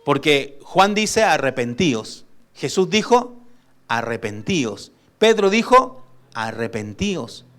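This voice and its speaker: A man's voice: on the high side, big pitch swings, slow. A man preaching a sermon as pastor. He puts questions to the congregation and draws out key words for weight. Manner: emphatic preaching that asks the congregation for responses; swings between loud emphasis and soft asides.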